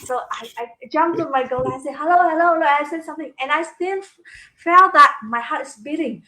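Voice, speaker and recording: Vietnamese woman co-host, high bright voice, animated chat with frequent laughs. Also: brisk, rough recording. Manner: animated, laughs often, repeats words. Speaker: talk show co-host. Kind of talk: casual chat.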